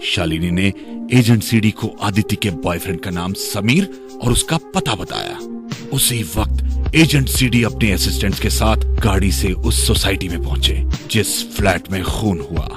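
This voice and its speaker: A man's voice: on the low side, normal speed. A man with an Indian accent reading scripted narration for a radio drama. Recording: loud music under the voice. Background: music.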